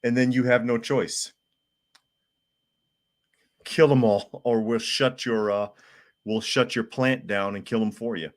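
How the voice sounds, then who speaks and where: A man with a sore, hoarse throat who hosts a live podcast, reading viewer comments aloud in a plain-spoken, casual way. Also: medium pitch, steady tone, unhurried, pauses often. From United States. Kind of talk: casual live-stream chat.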